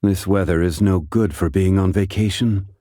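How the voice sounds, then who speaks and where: Game character: an ancient scholarly gentleman, low and dark, formal, unhurried. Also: no echo, studio quality. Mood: calm, formal. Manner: measured, formal, deliberate diction. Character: composed, ancient, scholarly gentleman bound by contracts. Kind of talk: video game character voice lines.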